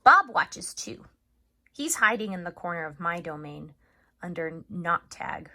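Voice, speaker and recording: A woman speaking as an elementary school teacher in a video lesson for children, chatty and expressive as she talks and reads aloud. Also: lowish voice, unhurried. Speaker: third grade teacher.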